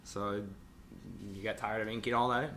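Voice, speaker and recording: A man's voice: fairly low pitch, flat delivery, moderate pace, pushed and bright. A man co-hosting a podcast, explaining things in a casual, conversational way. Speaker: podcast co-host.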